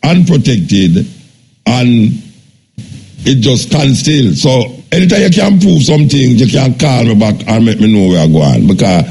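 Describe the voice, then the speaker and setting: A man's voice: mid-range voice, big pitch swings, unhurried, warm tone. A man with a Jamaican accent hosting a casual radio show, often repeating his phrases insistently. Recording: noisy radio broadcast with clear background noise.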